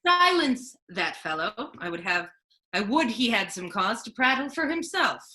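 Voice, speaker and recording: Woman playing an imperious duke, bright-voiced and animated. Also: on the high side, normal speed. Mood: commanding. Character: imperious duke, a ruling man, sardonic judge. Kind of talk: staged reading of Shakespeare.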